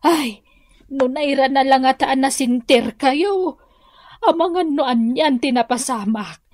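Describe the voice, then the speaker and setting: An actress with an Ilocano accent playing a gentle, pious, sorrowful elderly mother in a radio drama. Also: high voice, measured pace, dry recording, studio quality. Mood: worried, ashamed. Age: older.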